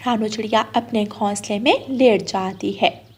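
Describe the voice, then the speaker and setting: A woman's voice: mid-range voice, a little slow, husky. A woman reading scripted narration for a children's cartoon story.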